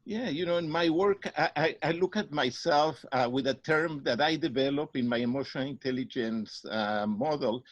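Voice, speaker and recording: A man's voice: mid-range voice, normal speed, hardly any pauses, no echo. A middle-aged man from Mexico with a Mexican accent, answering interview questions earnestly and often restarting his words. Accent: Mexican Spanish. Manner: earnest, searching for words, repeats himself. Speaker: psychologist guest. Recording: dry, dull lo-fi sound.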